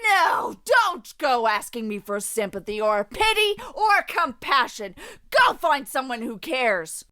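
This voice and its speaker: A woman voicing an angry, scornful battle sister in an audio drama, with a high, bright, sharp voice. Her pitch swings widely as she accuses and berates. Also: sing-song, slow, smooth, exaggerated voice. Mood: furious. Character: furious young battle sister, bitter and scornful. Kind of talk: fan audio drama acting.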